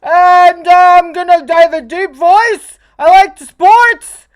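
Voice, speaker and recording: A woman's voice: extremely high pitch, takes it slowly. A woman playing a clumsy macho young man who blusters through a job interview. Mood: swaggering. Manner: gruff bro swagger, blurts, bluffs. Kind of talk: live improv comedy.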